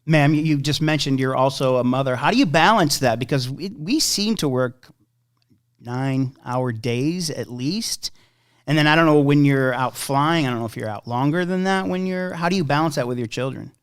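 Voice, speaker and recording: A man's voice: mid-range voice, normal speed, clean tone. A man hosting a casual interview podcast, friendly, asking questions with frequent stumbling restarts.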